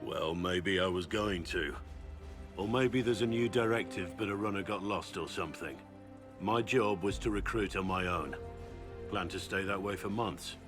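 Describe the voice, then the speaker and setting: A seasoned warrior and recruiter from a fantasy game, played by a male actor. His voice sits on the low side, blunt and earnest, with plain-spoken gruffness. Music plays lightly behind him. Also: moderate pace, natural voice. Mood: guarded, matter-of-fact. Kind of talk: dramatic fantasy game dialogue. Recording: background music under the voice.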